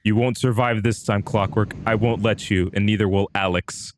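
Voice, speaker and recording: Flatly resolute thief hero from a game, read by a man, monotone, fairly low, slight noise. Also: moderate pace, close to an everyday voice. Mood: defiant.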